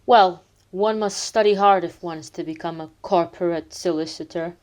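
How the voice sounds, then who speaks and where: A woman's voice: fairly low pitch, moderate pace, darkish tone, close to an everyday voice. A woman playing a prim, earnest schoolchild with grown-up airs in an audio drama. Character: studious, precocious schoolchild nephew.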